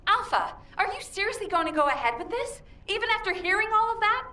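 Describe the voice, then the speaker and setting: A young female aide pleads in a very high, bright voice. She is an alarmed game character in an echoey space. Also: very animated, fast talker, exaggerated voice. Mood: urgent, pleading. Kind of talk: video game voice acting. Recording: echoey, slightly distant sound.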